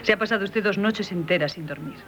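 A woman's voice: mid-range voice, quick pace, fairly bright tone, lightly acted. An actress in a 1970s radio drama playing an earnest young woman, emotional and easily troubled. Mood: relieved, tender.

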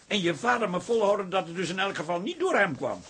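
An actor playing a fussy, anxious, grumbling café-owner husband in a radio comedy drama, fretful in manner. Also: male voice, high voice, big pitch swings, fast talker, fairly bright tone, breathy edge, heavily acted. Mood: grumbling, worried.

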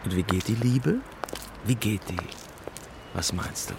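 A man's voice: fairly low pitch, expressive, a little slow, creaky, heavy background noise. An actor playing a slightly irritated man in a radio play, terse and questioning. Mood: insistent. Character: probing, slightly irritated male café companion.

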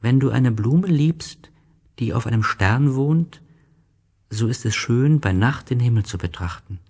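A man playing a gentle, wistful young boy in a German radio play, speaking slowly, earnestly and tenderly. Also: mid-range voice, expressive, husky. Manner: slow, earnest, tender, simple phrasing.

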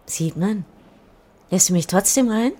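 A woman's voice: mid-range voice, lively intonation, measured pace, mellow. An actress playing a direct, teasing woman in a radio crime drama.

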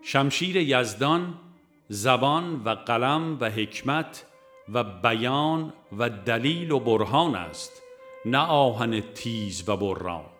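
A man reading a religious book aloud as a formal, measured scripted narration. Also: mid-range voice, animated, fairly bright tone, faint music behind. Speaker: audiobook narrator.

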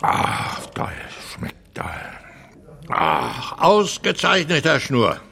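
A man's voice: a little high, wildly swooping pitch, very slow, gravelly, pushed and bright, exaggerated voice. An actor playing a proud, bitter opera star in his eighties, theatrical and grandiose, in a radio drama. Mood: savouring, grand. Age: 80s.

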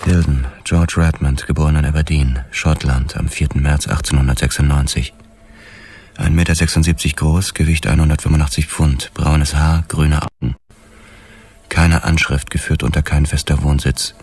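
An actor playing a haunted, hard-boiled police detective, narrating a noir radio drama in measured, clipped phrases. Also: male voice, rumbling low, fairly even intonation, darkish tone, husky, full character voice. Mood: tense, methodical. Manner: measured, clipped, grim storytelling. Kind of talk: scripted first-person noir crime narration, radio drama.

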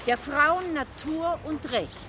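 A woman's voice: high-pitched, slow pace. An actress playing an emphatic, lecturing female guide giving a tour in a radio drama. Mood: emphatic, instructive. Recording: clear outdoor ambient noise.

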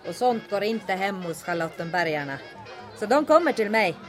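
A woman plays a bossy, dry-witted bar owner in a comic radio play. Her mid-range voice moves about with lots of swing. Music and bar chatter are clearly audible behind her. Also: mid-range voice, lively intonation, natural voice. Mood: welcoming. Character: shrewd, sardonic female bar owner secretly aiding resistance. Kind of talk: comedic audio drama acting. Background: bar crowd and music.

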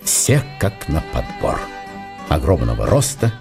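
A man narrating a literary radio drama with deliberate, dramatic phrasing. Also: very deep voice, wildly swooping pitch, gravelly. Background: music.

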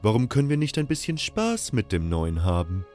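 A man's voice: fairly low pitch, huge pitch swings, a little fast, a little mellow, faint music behind, voice pushed up. A man voicing a sneering, violent prison-colony bully with an aggressive, snarling manner, in a fantasy audio drama. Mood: annoyed, scornful.

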